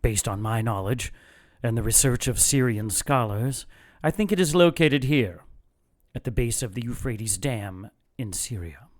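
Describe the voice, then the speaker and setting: An actor playing a fanatical cult leader in an audio drama, lecturing in a measured, contemptuous and gloating way. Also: male voice, mid-range voice, expressive, natural voice. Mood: solemn zeal. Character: fanatical cult leader brother plotting apocalypse, calm and contemptuous. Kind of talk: audio drama villain monologue.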